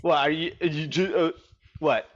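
A man's voice: a little high, steady tone, normal speed, muffled recording. A young man co-hosting a podcast in casual banter, teasing, slangy and mock-combative. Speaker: podcast co-host.

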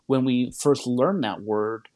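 A man's voice: medium pitch, slow pace, a little mellow, no echo. A man speaking as a podcast guest, thinking aloud and explaining in a reflective, conversational way.

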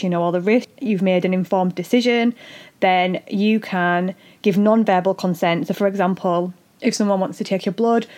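A woman with a husky, fading voice, hosting an educational podcast and explaining things earnestly, step by step. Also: mid-range voice, darkish tone. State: husky voice after illness. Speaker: podcast host and doula. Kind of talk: solo educational podcast talk.

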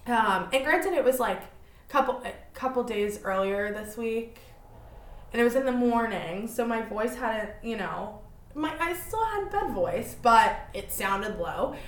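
A woman's voice: fairly high pitch, expressive, measured pace. Twenties woman, solo podcast host; chatty, self-mocking, rambly; echoey room. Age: 20s. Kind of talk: casual solo podcast chat.

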